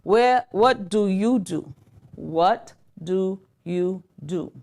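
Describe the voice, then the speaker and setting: A woman with a Haitian Creole accent teaching English in a live online lesson, speaking slowly and repeating phrases as she writes them out. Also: mid-range voice, a little mellow. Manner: slow, repeats phrases while writing them out.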